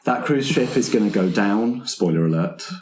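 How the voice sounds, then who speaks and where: A man's voice: fairly low pitch, animated, moderate pace, crowd noise. A man hosting casually as he introduces players, chatty and a little hesitant. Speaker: podcast host. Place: room around a table. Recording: roomy, echoing group recording.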